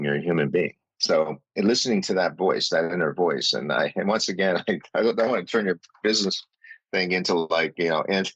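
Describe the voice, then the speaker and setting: A man's voice: low-pitched, fairly bright tone, slightly rough, no echo, poor audio. A man speaking as a podcast guest, earnest and rambling, with many restarts and small laughs. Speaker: guest, company CEO. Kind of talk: reflective interview talk.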